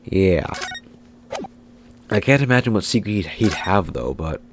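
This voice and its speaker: A man's voice: low-pitched, animated, unhurried, noisy background. A congested man with a cold, giving rambling, self-correcting let's play commentary. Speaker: gaming video host. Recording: game music behind.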